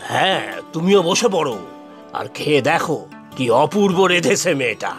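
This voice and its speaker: A man's voice: a little high, very animated, moderate pace. A man voicing a kindly, encouraging older father-in-law in a family cartoon, coaxing and reassuring. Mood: approving. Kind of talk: animated family cartoon dialogue. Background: soft background music.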